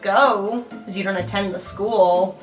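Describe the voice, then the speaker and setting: A woman voicing a kindly but awkward, flustered high-school girl superhero, speaking haltingly in an improvised roleplay podcast. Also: fairly even intonation, close to an everyday voice. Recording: roomy, faint music behind.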